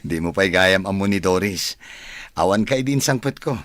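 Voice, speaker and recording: Deep-voiced, animated young gentleman. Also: a little slow, no room sound. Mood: pleased. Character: smitten, evasive grown son, well-off young gentleman. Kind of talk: Ilocano radio drama acting.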